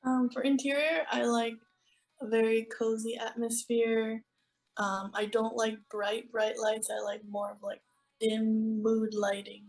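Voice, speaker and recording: A woman's voice: a little high, flat delivery, a little slow, darkish tone, low-quality recording. A woman from Florida answering questions as a guest in a casual interview. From Florida, United States.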